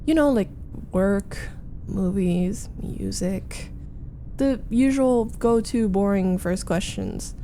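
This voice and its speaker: Une femme qui joue une jeune colocataire troublée, débit lent. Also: female voice, mid-range voice, mellow, slight background noise, natural voice. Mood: fond, wistful. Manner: chatty, halting, defensive, sighing confiding delivery. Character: flustered, needy young female roommate venting about a date. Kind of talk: roleplay ASMR audio acting.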